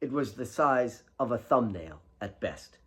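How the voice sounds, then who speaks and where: Animated man reading fiction. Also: medium pitch, a little slow, slightly rough. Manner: expressive, storytelling. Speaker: author reading own fiction. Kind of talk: dramatic fiction narration.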